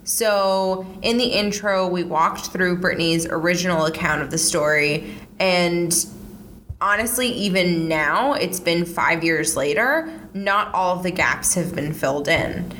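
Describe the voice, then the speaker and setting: A woman's voice: fairly low pitch, measured pace, a bit bright, slight background noise. A woman hosting a casual true-crime podcast, talking conversationally with lots of "like" fillers. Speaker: podcast host.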